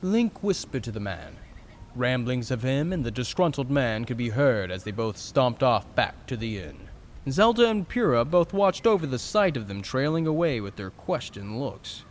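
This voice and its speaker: Animated male story narrator. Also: medium pitch, moderate pace, a little hiss or hum. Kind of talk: dramatic scripted story narration.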